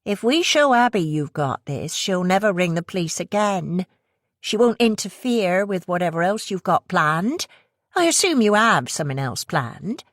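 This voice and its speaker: A woman's voice: on the low side, very animated, no echo, clean studio sound, voice pushed up. A woman voicing a pushy, scheming woman with a heavy West Country accent who talks in a rush with a subtly mocking tone. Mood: sly. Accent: West Country English. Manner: subtly mocking, rushed talk.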